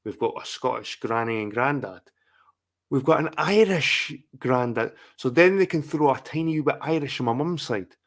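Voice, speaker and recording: A man's voice: mid-range voice, animated, normal speed. A middle-aged man from England, hosting a live chat stream in a profane, rambling, repetitive rant. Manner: profane, rambling, repeats himself. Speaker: livestream host. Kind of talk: casual ranting livestream chat.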